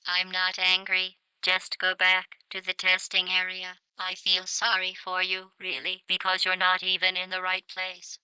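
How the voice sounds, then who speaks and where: A woman playing a coldly polite, menacing artificial intelligence in a video game, deadpan and formal, with a synthetic voice flattened to a monotone. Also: a little slow, few pauses, clear, resonant tone, dry recording, low-quality recording. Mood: menacing, coaxing. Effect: synthetic robot voice, pitch flattened to monotone. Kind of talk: scripted video game voice lines.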